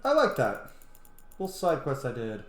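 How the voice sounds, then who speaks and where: A man's voice: medium pitch, huge pitch swings, normal speed. Casual man commentating games in a roomy space. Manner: informal, sweary, muttering asides to himself. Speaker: gaming streamer, let's-play host. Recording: roomy sound with faint noise.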